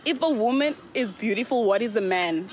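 A woman interviewing people on the street, upbeat and quick with her questions and thanks. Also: on the high side, expressive. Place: outdoors on a street. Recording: street recording with faint noise.

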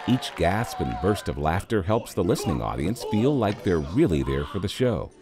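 A man's voice: low-pitched, big pitch swings, normal speed. An American man reading scripted narration for a promotional documentary.

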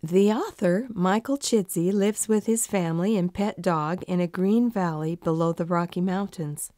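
A woman narrating a children's storybook in an expressive, deliberate storytelling manner. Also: on the low side, no room sound, clean studio sound.

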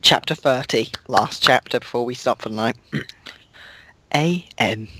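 Young man, mock-dramatic reader, unhurried deadpan delivery with sarcastic asides, dry close sound. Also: medium pitch, slightly rough, no room sound. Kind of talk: mocking dramatic reading.

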